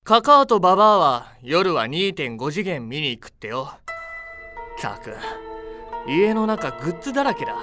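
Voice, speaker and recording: Resigned henpecked husband, lively pitch. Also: male voice, moderate pace, faint music behind. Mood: grumbling, sly. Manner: resigned, grumbling. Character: henpecked married-in husband, secretly a hard-bitten avenger. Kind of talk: comic period radio drama acting.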